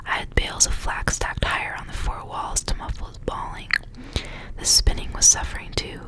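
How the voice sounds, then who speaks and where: Female ASMR reader, fully whispered delivery, soft and unvoiced, for intimate story reading. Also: moderate pace. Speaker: ASMR reader and channel host.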